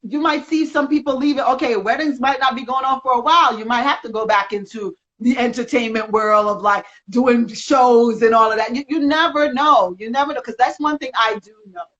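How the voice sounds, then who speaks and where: A woman giving energetic, rambling encouragement in a casual live video chat, speaking as a magazine representative. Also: on the high side, brisk, hardly any pauses. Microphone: video call. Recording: dull lo-fi sound.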